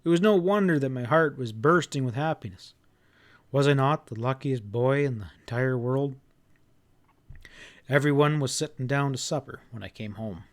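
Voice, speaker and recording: A man reading an audiobook as a first-person narrator, in an expressive, folksy storytelling manner. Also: medium pitch, moderate pace.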